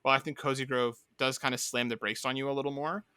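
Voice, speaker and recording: Bright-voiced man hosting a casual podcast. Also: mid-range voice, steady tone, fast talker, clean tone, no echo, studio quality. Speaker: podcast host. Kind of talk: casual chat about video games.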